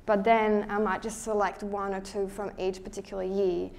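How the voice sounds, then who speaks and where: A young woman from Slovakia with a Slovak accent, speaking as a guest presenter to a live audience in a lecture hall, friendly and relaxed, restarting sentences as she thinks. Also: medium pitch, moderate pace, gravelly. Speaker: guest speaker, underwater photographer. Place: lecture hall at a photo show.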